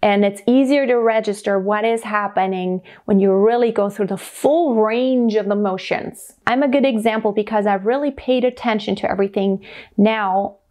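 Animated German-accented singing teacher. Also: female voice, medium pitch, normal speed. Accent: light German. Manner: animated, demonstrates sounds, gestures a lot. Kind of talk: vocal lesson to camera. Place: indoor home room with piano.

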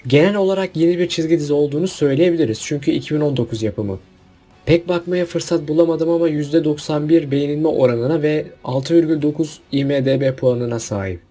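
Male commentator, husky and relaxed, opinionated and jokey, casual countdown-video delivery. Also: fairly high pitch. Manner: opinionated, jokey asides with rhetorical questions. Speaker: video host.

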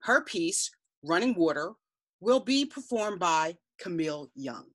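Woman, festival presenter, scripted introduction, slightly low pitch. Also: expressive, a little slow.

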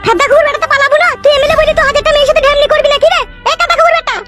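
A woman's voice: extremely high pitch, steady tone, rapid-fire, over loud music. A woman voicing a scorned, vindictive village wife who scolds and threatens in a comic cartoon. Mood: furious, scolding. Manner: scolding, threatening, foul-mouthed.

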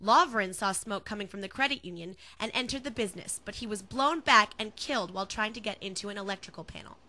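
A woman's voice: mid-range voice, fast talker, pushed and bright, slight background noise. A young American woman reporting scripted TV news in a formal, enunciated newscaster delivery. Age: young adult.

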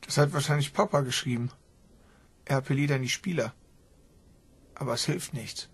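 A man's voice: mid-range voice, normal speed, breathy edge, natural voice. An actor playing a grim, terse man in a German radio crime drama.

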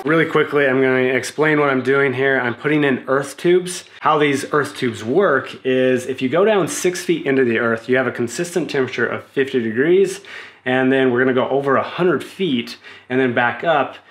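A man's voice: medium pitch, normal speed, fairly bright tone. Male, thirties, American accent, easygoing DIY vlogger explaining a building project in plain, casual talk. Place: small home room while explaining at a desk, outdoors on a building site elsewhere.

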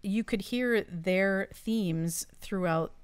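A middle-aged woman co-hosting a casual home podcast, chatting enthusiastically and reminiscing, close on a studio boom mic. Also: extremely slow, clear, resonant tone, no echo. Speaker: podcast co-host. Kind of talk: casual chat. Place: home studio room with shelves of collectibles. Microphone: studio mic on boom arm.

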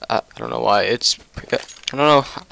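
A man's voice: medium pitch, wildly swooping pitch, moderate pace, vocal fry, bright, forceful tone. A young man hosting a casual gameplay commentary, tired, stuttering often, with many fillers and restarts. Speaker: gaming channel host.

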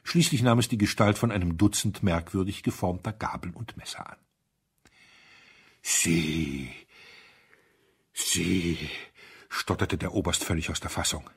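A man narrating a literary audiobook in an expressive storytelling style. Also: deep voice, husky.

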